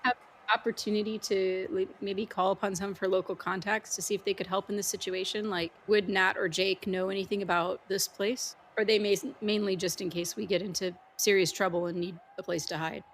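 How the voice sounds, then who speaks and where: A woman's voice: mid-range voice, fairly even intonation, brisk, slight background noise. A woman playing a tabletop role-playing game, talking casually and improvising as she plans with the group.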